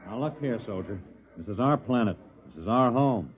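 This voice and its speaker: A man plays an aggrieved colony spokesman in a 1950s radio drama. He is low-voiced, stiff and curt, with no humor in him. The recording is narrow as a phone line, with faint noise. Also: animated, normal speed, close to an everyday voice. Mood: indignant. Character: stern, aggrieved male colony spokesman, plain-spoken settler. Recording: noisy, band-limited 1950s radio recording.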